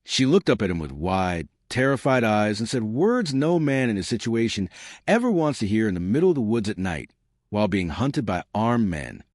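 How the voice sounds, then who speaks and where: A man's voice: lowish voice, very animated, normal speed, darkish tone, no room sound, studio quality. A man narrating a scripted dramatic story as a suspenseful storyteller who speaks straight to the listener. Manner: suspenseful, addressing listeners directly.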